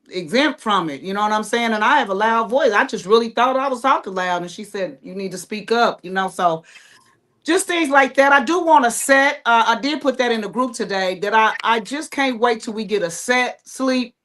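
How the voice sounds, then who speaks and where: A woman's voice: a little high, lively intonation, normal speed, fairly bright tone. A woman with an American accent speaking informally and conversationally as a playwright guest in a casual panel chat. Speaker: playwright and creator, interview guest.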